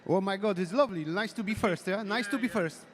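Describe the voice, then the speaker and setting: Male coach, friendly answers, high voice, reverberant hall. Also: quick pace. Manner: friendly, non-native phrasing. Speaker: competition team coach. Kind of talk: interview answers. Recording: long echo of a big hall.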